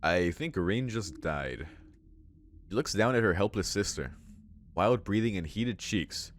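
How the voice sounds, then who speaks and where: Low-voiced man reading and reacting. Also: expressive, unhurried. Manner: informal, exclamatory asides between read passages. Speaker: visual novel let's play host. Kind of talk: reading game text aloud with casual reaction commentary.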